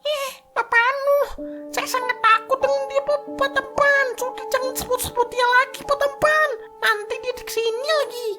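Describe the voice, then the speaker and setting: A performer voicing a timid, squeaky little cartoon boy who scares easily. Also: steady tone, normal speed. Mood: scared. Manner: squeaky, fearful, childlike. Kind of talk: cartoon voice acting. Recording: music behind the voice.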